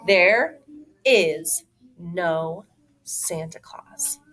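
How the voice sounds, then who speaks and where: A smug young boy from a children's storybook, acted out by a woman reader. He lectures and scoffs with insistent scorn, in an animated, swooping voice. The delivery is slow and emphatic. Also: on the low side, heavily acted. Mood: scolding. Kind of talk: children's storybook read-aloud.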